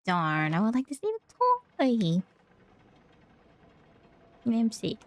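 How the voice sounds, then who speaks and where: A woman's voice: on the high side, sing-song, slow, no echo. A woman livestreamer chatting casually with her viewers as she plays a game. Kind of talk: casual chat with stream viewers.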